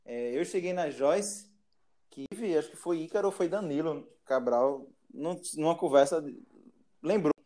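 A man's voice: fairly high pitch, normal speed, mellow. A man hosting a casual podcast chat, laughing often and trailing off mid-sentence. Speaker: podcast host.

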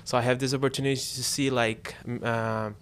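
A young man from Brazil with a Brazilian Portuguese accent, a university student speaking English as a second language, telling his faith story in a relaxed, halting way. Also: mid-range voice, slight background noise. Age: young adult. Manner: relaxed, halting non-native phrasing. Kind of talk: casual faith testimony chat.

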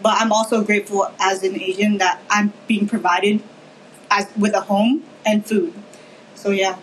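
Soft-spoken teenage Filipino-born girl. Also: medium pitch, flat delivery, moderate pace, a little hiss or hum, low-quality recording. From Philippines. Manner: soft-spoken, hesitant, repeats words. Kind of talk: casual discussion.

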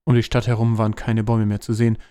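A man's voice: on the low side, completely flat delivery, rapid-fire, dark tone, clean tone, dry recording. A man narrating a scripted fantasy audio drama as a storyteller.

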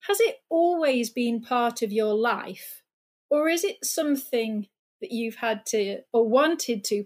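Woman podcast host, higher voice, measured and welcoming, faint guitar behind. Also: a little mellow. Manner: measured, welcoming, introduces guests at length. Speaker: podcast host, senior lecturer. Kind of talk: friendly podcast hosting.

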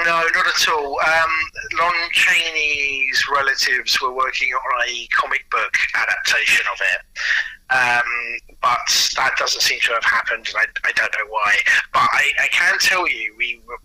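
A British man speaks as a guest in a casual interview. His voice is very sharp and bright, with a raspy edge. He talks in an enthusiastic, conversational way. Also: mid-range voice, rough recording. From Britain. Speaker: interview guest, audio producer and actor.

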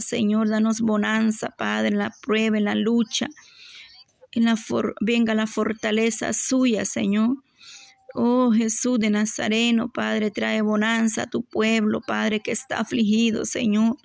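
A woman leads a fervent spoken prayer in a fairly high, creaky voice. She keeps a narrow, even pitch and an unhurried pace as she pleads devoutly, returning to repeated invocations. The sound is dry and close. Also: dry recording. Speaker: religious worshipper leading prayer.

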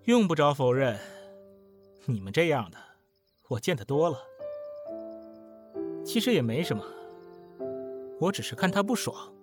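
An actor playing a cool, sardonic young roommate in an audio drama, composed yet pointed and needling. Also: male voice, fairly high pitch, big pitch swings, unhurried, pauses often, over music. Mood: cool, disdainful. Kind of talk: Chinese audio drama acting.

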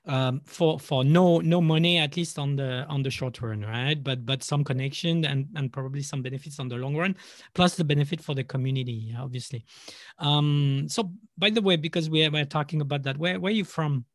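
Male interviewer, light raised pitch, curious and stammering, dry close recording. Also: brisk, dry recording. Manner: curious, stammering restarts before questions. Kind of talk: casual interview questions.

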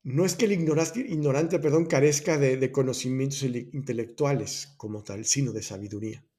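A man with a mellow, warm voice at a middle pitch, talking through Buddhist concepts on his own show. He speaks in a reflective, unhurried way, stopping to correct himself and restart his phrases as he goes. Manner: thoughtful, self-correcting, many restarts and asides. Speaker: host of a personal growth podcast. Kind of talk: reflective solo talk on Buddhist ideas.

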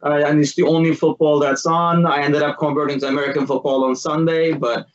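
A man's voice: fairly high pitch, flat delivery, a little fast, highs cut off. A man chatting casually and informally in a rambling way, as a panelist on a sports podcast. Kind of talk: casual chat.

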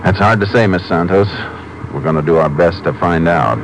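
An American actor playing a calm, methodical Texas Ranger in a 1950s radio drama, speaking in a measured, matter-of-fact way as investigator and narrator. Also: male voice, low voice, heavy background noise. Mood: gentle, probing.

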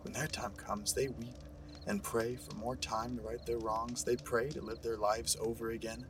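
An actor playing a proud, calm young army scout in a western audio drama, earnest and composed. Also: male voice, mid-range voice, moderate pace, recorded from a distance, background noise, close to an everyday voice. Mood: reflective. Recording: music behind the voice.

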